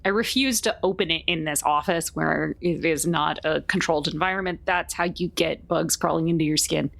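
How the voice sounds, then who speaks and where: Female tabletop player, slightly low voice with vocal fry, casual podcast chat. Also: normal speed, fairly bright tone.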